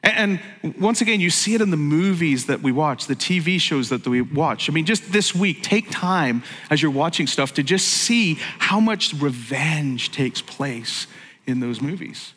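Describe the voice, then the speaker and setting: A middle-aged pastor from Northern Ireland gives a sermon, chatty and joking, with plenty of asides. His voice sits fairly high and has a bright, forceful edge. A long reverb trails behind him, as in a large church hall. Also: expressive, a little slow. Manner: jokey, conversational, self-interrupting.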